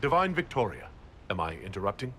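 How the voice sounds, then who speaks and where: An actor playing a calm, polite male military leader in a video game, courteous with a dry manner. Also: on the low side, fast talker, a little hiss or hum. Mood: polite, composed. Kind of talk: video game cutscene acting.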